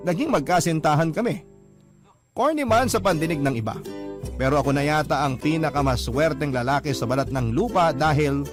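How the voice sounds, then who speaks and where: Earnest man narrating over guitar. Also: fairly high pitch. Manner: earnest, confessional, addresses the host. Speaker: radio drama narrator voicing a letter writer. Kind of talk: first-person radio drama narration. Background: guitar music.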